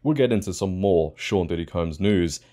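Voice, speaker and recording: Low-pitched, mellow male commentator. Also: expressive, normal speed. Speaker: online news commentator and host. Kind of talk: opinionated news commentary to camera.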